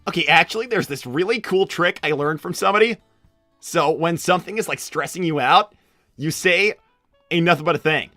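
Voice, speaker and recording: A woman voicing a laid-back but excitable young male cartoon animal, hesitant and slangy in casual improvised chat. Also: lowish voice, brisk, no echo, clean studio sound, faint music behind, natural voice. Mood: easygoing. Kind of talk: improvised in-character livestream chat.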